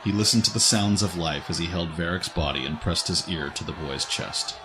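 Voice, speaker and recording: Deep-voiced man narrating a dramatic story. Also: moderate pace, crowd noise, background noise. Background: faint music.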